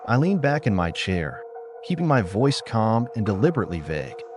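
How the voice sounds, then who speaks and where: A man reads a first-person story as an audiobook narrator. His pitch swings widely in a dramatized delivery, and music plays clearly behind him. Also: sing-song, fairly quick.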